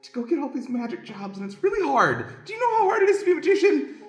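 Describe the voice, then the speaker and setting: Man playing a hapless magician with animated, swooping delivery. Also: very high-pitched, fast. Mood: defensive, flustered. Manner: hesitant, self-justifying, rambling. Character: hapless, defensive second-rate male stage magician. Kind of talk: improvised comic radio play. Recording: roomy, music underneath.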